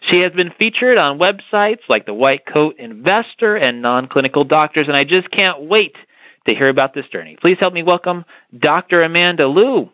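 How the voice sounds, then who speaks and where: Podcast host guy, bright tense voice, keen, stumbles over words a bit, dry close mic. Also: fairly high pitch, expressive, normal speed, no room sound, rough recording. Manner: enthusiastic, repeats words when asking. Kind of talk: podcast interview.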